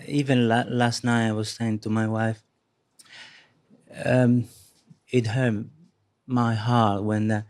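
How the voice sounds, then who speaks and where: Low, flat-voiced man. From Spain. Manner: earnest, halting, restarts often. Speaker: audience member sharing a testimony.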